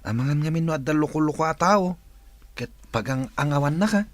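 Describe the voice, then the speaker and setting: Man acting a high-pitched, brash village cousin. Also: lively intonation, brisk, dry recording, close to an everyday voice. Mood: easygoing. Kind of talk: comedy radio drama acting.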